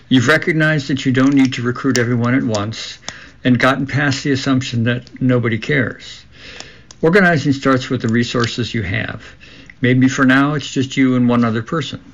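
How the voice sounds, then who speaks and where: Creaky-voiced man reading aloud on radio. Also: medium pitch, a bit bright, lo-fi sound. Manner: measured read-aloud delivery with occasional stumbles. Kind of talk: scripted reading for a labor radio show.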